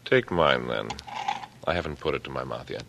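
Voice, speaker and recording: An actor playing a smug, calculating middle-aged killer in an old American radio drama, speaking in a taunting, deliberate, condescending way. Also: male voice, very deep voice, gravelly. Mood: smooth, guarded. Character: coolly menacing older killer, smug, patronizing and calculating. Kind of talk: 1940s radio drama acting. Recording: noisy vintage radio broadcast recording.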